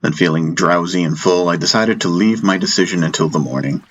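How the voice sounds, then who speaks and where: A man narrating a fantasy audiobook in the first person, in a measured, dramatic storytelling manner. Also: deep voice, highs cut off, slight background noise.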